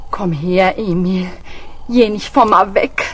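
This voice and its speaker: A woman's voice: mid-range voice, takes it slowly, a bit bright, breathy edge. An actress playing a nervous, whiny woman crook who scares easily, in a children's radio play. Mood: frightened.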